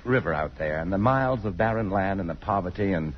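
A man's voice: low voice, animated, quick pace. An actor playing an easygoing, wry man with restless enthusiasm, in 1950s radio drama style. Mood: wry, enthusiastic. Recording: noisy, dull old radio recording.

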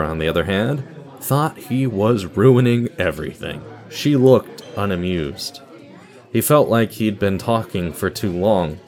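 A man's voice: on the low side, darkish tone. A man reading scripted narration for an audio drama, telling a story in a dramatic style.

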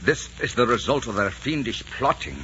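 A man's voice: medium pitch, lively intonation, normal speed, sharp tone. An actor playing a bold, passionate nobleman, theatrical and emphatic in 1940s radio drama style. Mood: grave passion. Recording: muffled, hissy 1940s radio recording.

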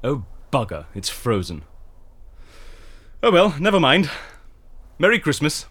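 A man playing an eccentric, witty and excitable fixer in an audio drama, with theatrical, wry delivery. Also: fairly high pitch, measured pace, slight background noise, full character voice. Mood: wry, negotiating.

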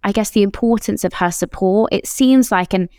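A woman's voice: mid-range voice, moderate pace, darkish tone, no room sound, clean studio sound. A woman hosting a casual interview, asking reflective questions and relating her own experiences. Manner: reflective, relating, many fillers.

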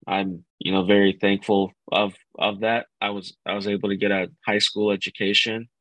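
A bilingual man speaking as an interview guest, earnest and halting, with frequent restarts. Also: fairly low pitch, little pitch movement, a bit bright, dry recording, low-quality recording. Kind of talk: casual interview.

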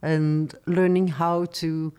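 Woman, middle-aged, lowish voice, measured storytelling. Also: flat delivery, dark tone, clear, resonant tone. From Belgium. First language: not English. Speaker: guest, former studio executive. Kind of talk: interview conversation.

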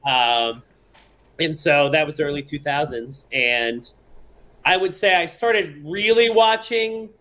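A man from the American South with a bright, tense edge to his voice and a fairly high pitch. He talks in a rambling, eager way as a podcast guest, cursing casually as he goes. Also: takes it slowly. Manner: rambling, enthusiastic, swears freely. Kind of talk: casual chat.

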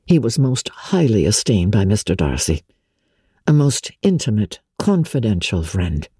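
Woman doing regency gentleman, mellow husky voice, measured and confiding, kinda mournful, audiobook drama. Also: a bass voice, big pitch swings, no room sound, clean studio sound, voice pushed down, full character voice. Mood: earnest, aggrieved. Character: smooth-mannered, aggrieved young gentleman of Regency novel.

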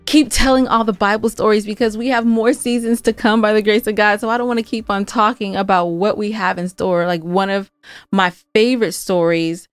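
Expressive woman chatting on a podcast, close and dry. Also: medium pitch, a little fast, clean tone, no echo. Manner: expressive, emphatic, conversational. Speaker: podcast co-host and audio drama writer. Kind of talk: casual podcast chat.

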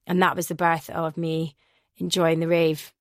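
Scottish woman, 30s, radio DJ guest; animated, rambly storyteller; low-set voice, dry close studio sound. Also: moderate pace, dry recording. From Glasgow, Scotland. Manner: chatty, animated, rambling anecdotes with self-corrections. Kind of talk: casual interview storytelling.

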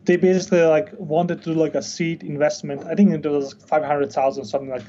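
Male interview guest from Denmark: fairly high, relaxed voice, casual filler-heavy phrasing, slightly muffled recording. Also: fairly quick, a little hiss or hum. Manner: informal, filler-heavy speech with frequent "like". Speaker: interview guest, software founder.

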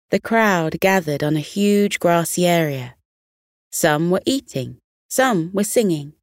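Woman, bedtime storyteller, lowish pitch, very unhurried pace, gentle expressive delivery, children's narration. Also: dry recording, studio quality.

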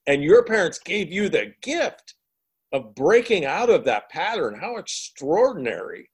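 A man hosts an interview in a somewhat higher voice. He asks questions and sums up his guest's points in a thoughtful, reflective way. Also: very animated, unhurried, husky. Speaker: interview host.

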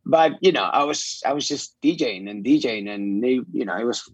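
A man's voice: mid-range voice, expressive, a little fast, breathy edge, no room sound. A man, a guest chatting loosely with lots of "you know" fillers. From Spain. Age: 40s. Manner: rambling, many "you know" fillers, easy laughs. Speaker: guest, club music director. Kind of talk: casual interview chat.

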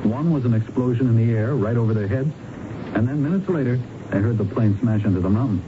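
A man's voice: mid-range voice, quick pace, close to an everyday voice. An actor playing an eager, deferential American patrolman with a folksy, informal manner in a 1970s radio drama. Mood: reporting. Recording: dull, noisy old radio recording.

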